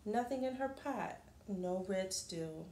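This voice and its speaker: A woman reading a picture book aloud expressively to children, with explanatory asides and questions in a deliberate manner. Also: medium pitch, lively intonation, background noise. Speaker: children's storybook reader. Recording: roomy, echoing room sound.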